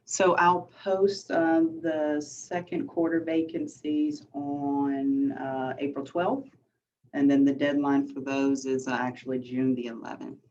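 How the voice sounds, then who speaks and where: A woman working as city staff, giving a relaxed update with fillers on a video call. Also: low-pitched, slow. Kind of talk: virtual meeting update. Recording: dull lo-fi call audio, faint noise.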